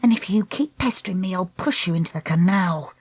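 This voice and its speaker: A woman voicing a tough, guarded young girl in a storybook, bossy and curt, quick to snap. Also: lowish voice, animated, a little mellow, husky, no room sound. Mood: snappish. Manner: curt, snappy, insistent.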